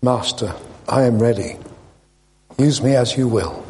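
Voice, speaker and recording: A man's voice: medium pitch, unhurried, darkish tone, lightly acted. A noble, humble bamboo plant from a storybook parable, voiced by a man, speaks in a mid-range voice. It is earnest, reverent and pleading toward its master. The sound rings with long hall echo. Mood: eager, reverent.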